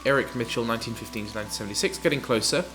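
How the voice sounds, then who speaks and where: A man's voice: mid-range voice, rapid-fire, low-quality recording. A man with a stuffy nose from hay fever, chatting wryly while playing through a video game and reading its text aloud. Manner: wry, chatty. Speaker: let's play host. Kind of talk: casual gameplay commentary. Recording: game music faintly behind.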